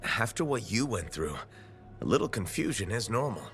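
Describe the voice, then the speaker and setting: An actor playing a friendly, supportive hero freelancer. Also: male voice, fairly low pitch, big pitch swings, moderate pace, creaky, fairly bright tone, faint music behind, full character voice.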